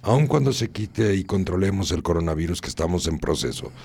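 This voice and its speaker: Guy on the radio, real deep gravelly voice, a bit husky, preachy and repeats himself. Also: lively intonation, brisk, darkish tone. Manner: preachy, repeats phrases for emphasis. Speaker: radio host. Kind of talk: radio talk show, moralizing commentary.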